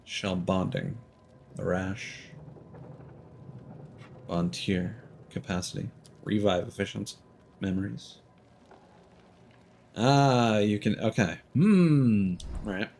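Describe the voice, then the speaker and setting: A man's voice: fairly low pitch, slow pace, creaky, long pauses, a little mellow, slight background noise. A young man streaming a game, casually reading menu items aloud to himself.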